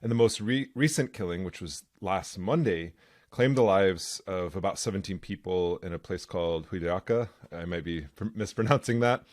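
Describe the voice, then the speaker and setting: A man's voice: deep voice, moderate pace, no room sound, clean studio sound. A man hosting a podcast interview, earnest and conversational. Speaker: podcast host.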